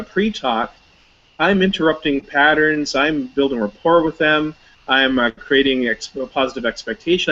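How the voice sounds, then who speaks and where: A man's voice: fairly high pitch, fairly bright tone, muffled recording. A man talking casually as an interview guest, chatty and anecdotal, with many fillers and restarts. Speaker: hypnotist, guest.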